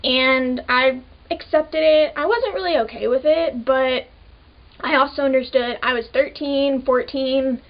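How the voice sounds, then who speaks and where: A young woman of twenty with a high voice, talking to camera in a relaxed, chatty way and leaning on "like" between thoughts. The audio sounds a little dull and muffled. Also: measured pace. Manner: casual, chatty, many "like" fillers. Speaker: vlogger. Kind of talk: personal storytelling to camera.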